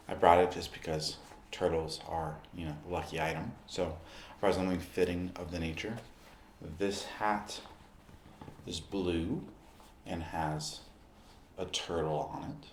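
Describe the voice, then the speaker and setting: A man speaking in an ASMR roleplay, addressing the listener directly in short, unhurried phrases with pauses. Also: low voice, steady tone, reverberant, slight background noise. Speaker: ASMR performer playing a basketball recovery expert.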